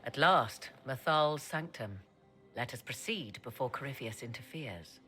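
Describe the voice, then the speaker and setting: A woman's voice: a bass voice, lively intonation, unhurried, a bit bright, soft background music, exaggerated voice. A woman playing a proud, learned sorceress in a fantasy video game, speaking in archaic, formal, theatrical diction. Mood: measured, grave.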